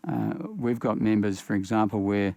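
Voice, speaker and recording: Older aussie bloke on a panel, gravelly low voice, lots of uhs, dry sound. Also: male voice, fairly even intonation, mellow, no room sound. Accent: Australian. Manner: halting, many uh fillers. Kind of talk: panel discussion.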